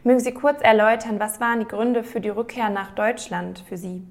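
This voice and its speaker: Creaky-voiced woman hosting a podcast. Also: fairly high pitch, steady tone, fairly quick, no room sound. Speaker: podcast host, interviewer. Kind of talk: podcast introduction and interview questions.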